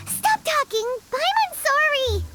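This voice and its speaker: A woman's voice: extremely high pitch, big pitch swings, very slow, full character voice. A woman voicing a tiny, chirpy female fairy companion in a video game, with a bubbly, childlike, whiny manner. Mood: flustered. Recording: game audio with loud music.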